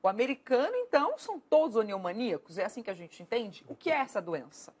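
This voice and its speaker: A woman's voice: a little high, big pitch swings, quick pace, a little mellow, husky. A woman hosting a TV talk show, playful and teasing with her guests. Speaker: TV show host.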